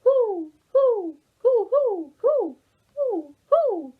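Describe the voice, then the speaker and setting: A woman's voice: big pitch swings, extremely slow, phone-quality sound, heavily acted. A woman hooting as a curious baby owl. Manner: high, loud hooting.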